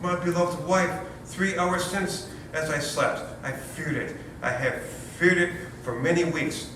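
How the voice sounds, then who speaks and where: A man's voice: fairly even intonation, measured pace. A man playing an anxious, indignant new father in a stage comedy, with a pompous, florid, self-pitying delivery. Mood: woeful, dramatic. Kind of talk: stage comedy readers theater. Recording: roomy, distant, noisy hall recording with rumble.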